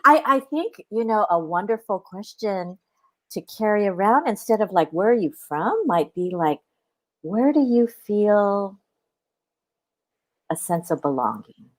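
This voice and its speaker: A woman guest on a podcast, telling stories in a musing, reflective way with animated asides. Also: medium pitch, a little slow. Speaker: podcast guest, spiritual companion. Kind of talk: reflective conversation.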